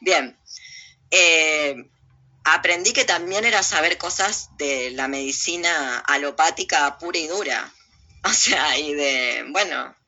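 Bright, creaky-voiced Argentine woman, blunt. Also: sits low, slow pace, highs cut off. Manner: blunt, emphatic, with coarse slang. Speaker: interview guest. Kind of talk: opinionated, informal interview talk.

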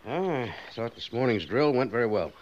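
A man playing an exacting but fair American cavalry major in a 1950s radio western, speaking with brisk, formal authority. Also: mid-range voice, sing-song, lightly acted. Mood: businesslike. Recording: muffled, noisy old 1950s radio recording.

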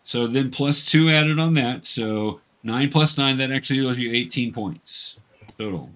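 Male host and game master, mid-pitched voice, casual and patient explainer with halting delivery. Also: fairly bright tone. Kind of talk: casual explaining while running a tabletop game. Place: remote video call.

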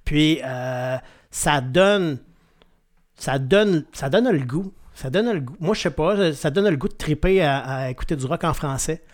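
A man's voice: a little high, animated, measured pace. Rambling Québécois man interviewing. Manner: rambling, hesitant questions. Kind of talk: casual interview.

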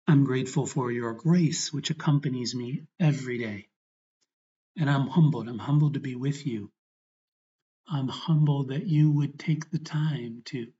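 A man speaking as a priest leading a guided prayer meditation, reverent and earnest, in short phrases with pauses. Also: mid-range voice, warm tone, lo-fi sound. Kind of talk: guided prayer, spoken meditation and gospel reading.

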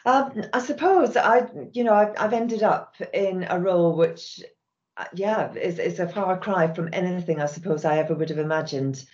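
A middle-aged woman with a Scottish accent, talking in a measured, reflective way and often restarting a phrase. Her voice sits low and dark. The recording sounds a little dull and muffled. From Scotland. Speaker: charity chief executive. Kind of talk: podcast interview answers.

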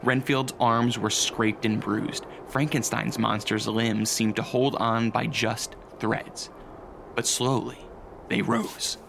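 A man's voice: lowish voice, flat delivery, slow, vocal fry, noisy background. A man playing a grave, suspenseful narrator in a scripted radio drama, precise and solemn.

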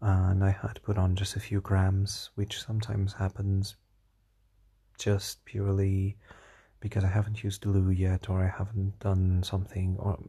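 A tired young man with a slightly hoarse voice, rambling hesitantly through a casual diary vlog with many fillers and restarts. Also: deep voice, monotone, a little slow, dark tone. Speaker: vlogger.

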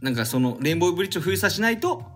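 A man's voice: sits high, very animated, racing, fairly bright tone, soft background music. A man co-hosting a casual podcast, agreeing easily and chiming in. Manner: easygoing, agreeable backchannelling. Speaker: podcast co-host. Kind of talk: casual chat.

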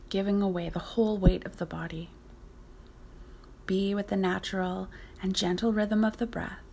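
A woman leading a guided yoga nidra meditation as the teacher, speaking softly and breathily, often close to a whisper, in a soothing, unhurried, gently instructive way. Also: mid-range voice, creaky, slight background noise.

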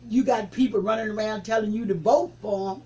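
A man in his seventies, a reverend, recalling the past in an interview with a preaching cadence that rises to forceful emphasis. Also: sits high, fairly even intonation, fairly quick, dull audio. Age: 70s. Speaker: reverend, civil rights veteran. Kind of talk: interview reminiscence.

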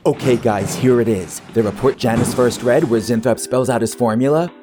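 An actor playing a fretful, gossipy office assistant in a satirical audio drama, anxious in manner. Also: male voice, mid-range voice, moderate pace, music in the background, natural voice. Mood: nervous, confiding. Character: nervous, fretful office assistant named Franklin.

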